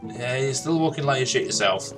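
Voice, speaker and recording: A man's voice: mid-range voice, brisk, fairly bright tone, soft background music. A man doing casual, jokey gaming commentary, talking to himself and reading game dialogue aloud. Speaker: gaming streamer.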